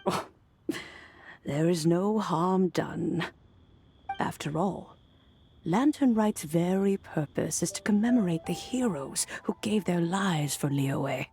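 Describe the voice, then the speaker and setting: A woman voicing a wise, ancient and serene elderly immortal in a video game, talking in a measured, reflective storytelling way. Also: lowish voice, animated, warm tone, a little hiss or hum. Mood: wistful. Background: music.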